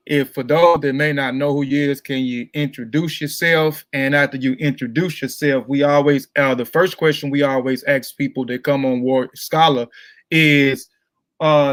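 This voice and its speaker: A man hosting a livestream interview, reading measured prepared text and then talking casually to his audience. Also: medium pitch, a bit bright, no room sound. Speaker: host.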